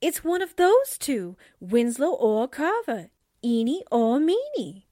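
Woman acting a high-voiced wisecracking sidekick. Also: very animated, slow pace, warm tone, no echo, clean studio sound, heavily acted. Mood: musing. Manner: wry, slangy. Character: wisecracking breezy streetwise female sidekick. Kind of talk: radio drama acting.

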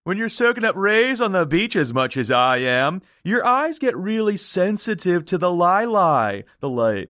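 A man playing a laid-back, dim surfer dude with a slangy, drawn-out drawl in a comedy. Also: high-pitched, big pitch swings, a bit bright, no room sound, clean studio sound, exaggerated voice. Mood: breezy, boastful. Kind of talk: comic audio drama acting.

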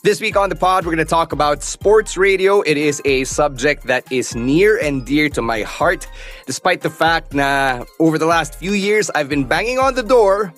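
A man's voice: a little high, animated, moderate pace, fairly bright tone, soft background music. A man with a Filipino accent hosting an interview podcast, articulate and conversational. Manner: articulate, conversational, switches into Tagalog. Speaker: podcast host.

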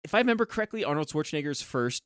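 A man's voice: a little high, big pitch swings, rapid-fire, fairly bright tone, no echo, clean studio sound. A man co-hosting a podcast, chatting casually about a film and rambling with lots of filler words. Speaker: podcast co-host.

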